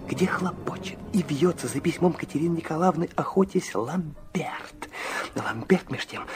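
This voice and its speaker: A man's voice: on the high side, lightly acted. An actor playing an intense, naive young man in a radio drama, confessional and emotional in his delivery. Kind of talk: Russian radio drama acting with narration.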